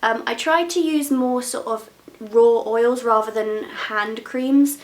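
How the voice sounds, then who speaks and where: A woman's voice: a little high, slow pace. A woman, talking casually to her viewers on camera. She sounds nasal from a cold and chats frankly, laughing often. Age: 20s. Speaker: beauty vlogger.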